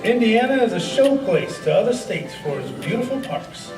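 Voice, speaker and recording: A man's voice: high-pitched, big pitch swings, moderate pace. A man playing a rich, grateful parks philanthropist in an amateur radio play, with a formal, declamatory delivery. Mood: proud, earnest. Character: rich, grateful philanthropist, former soap-company tycoon, parks champion. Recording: roomy, distant mic, music behind.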